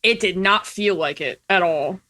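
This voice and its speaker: A woman's voice: fairly low pitch, measured pace, bright, forceful tone. A woman podcast guest telling stories in casual, informal conversation, peppered with "like" and "you know". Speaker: podcast guest, indie producer. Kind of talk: casual chat, storytelling.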